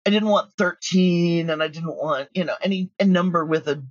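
A woman's voice: on the low side, normal speed, no echo, muffled recording. A woman chatting casually as an interview guest, telling stories with plenty of asides. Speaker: guest, audio drama creator.

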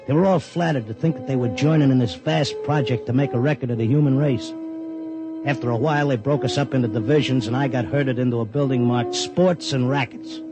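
An actor in a 1950s radio drama playing a streetwise, wisecracking racetrack hustler who also narrates. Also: male voice, medium pitch, steady tone, fairly quick, music in the background, lightly acted. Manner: streetwise slang, hard-boiled. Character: wisecracking racetrack hustler, tough-talking husband. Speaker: narrator and lead.

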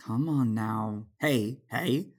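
An actor playing a gentle, patient fatherly man in an audio drama, soothing as he talks. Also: mid-range voice, slow. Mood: gentle, consoling.

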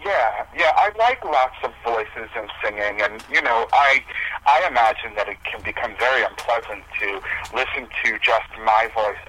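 A man's voice: medium pitch, lively intonation, normal speed, bright, forceful tone, a little hiss or hum, low-quality recording. A man speaking as a musician guest in a casual interview, hesitant and self-deprecating as he explains himself.

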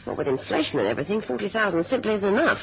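An actor playing a greedy, determined kidnapper in a 1970s radio drama, husky-voiced, pressing and decisive. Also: medium pitch, sing-song, very fast, a little hiss or hum. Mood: pushy, dissatisfied. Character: impatient, greedy, determined kidnapper plotting the big one. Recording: dull, lo-fi radio recording.

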